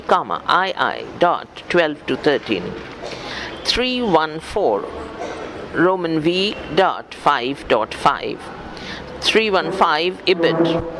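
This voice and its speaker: A man's voice: fairly high pitch, background noise. A man reading an academic book aloud methodically, spelling out references and speaking the punctuation. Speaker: audiobook reader for visually handicapped listeners.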